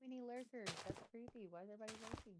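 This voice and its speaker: A woman's voice: a little high, fairly even intonation, racing, a little mellow, slight background noise, low-quality recording. A woman chatting casually with friends while gaming, playful and dry, laughing often. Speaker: friend on voice chat.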